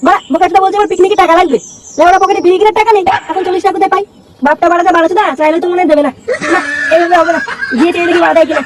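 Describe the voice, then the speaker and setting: A very high, squeaky cartoon boy, brash and whiny, rattling along at great speed. The recording sounds distant, with clear noise around the voice. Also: fairly even intonation, rapid-fire. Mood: grumbling. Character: cheeky foul-mouthed broke boy, whiny schemer. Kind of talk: comic cartoon dubbing.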